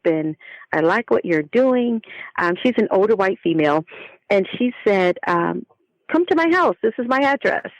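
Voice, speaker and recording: A woman's voice: on the low side, no room sound, muffled recording. A woman speaking as an author guest in a conversational interview, reflective and talkative as she explains herself.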